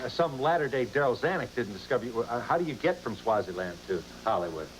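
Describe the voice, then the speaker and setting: A man in his fifties hosts a talk show, walking the studio floor with a handheld mic and firing off eager, halting questions. His pitch is ordinary for a man, and a faint hiss sits under the studio sound. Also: lively intonation, rough recording. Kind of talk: casual chat. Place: TV studio with audience.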